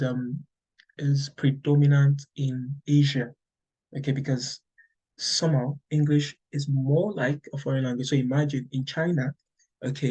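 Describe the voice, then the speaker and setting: Man, Nigerian accent, native Yoruba, husky voice, middle-aged teacher, conversational lecture, frequent "okay". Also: medium pitch, dark tone, dull audio. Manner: many "okay" checks, repeats and restarts. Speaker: English teacher.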